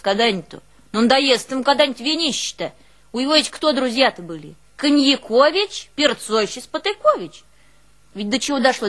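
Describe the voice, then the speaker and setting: An actress playing a proud, aggrieved peasant wife with a rural northern Russian accent, in an earthy, colloquial, emotional theatrical monologue. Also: female voice, high voice. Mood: fiery, reproachful. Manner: colloquial, emotional, swinging from scolding to hushed confiding. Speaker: stage actress in a one-woman audio play. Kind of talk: theatrical monologue with snatches of village folk ditties.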